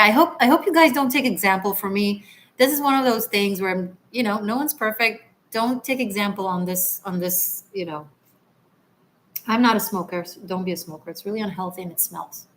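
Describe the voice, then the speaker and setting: A woman's voice: medium pitch, normal speed, slight background noise. A woman from Israel hosting a casual livestream, chatting loosely and answering viewers' comments with frequent restarts. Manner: relaxed, chatty, with frequent restarts and soft trailing asides. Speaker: livestream host, tarot reader.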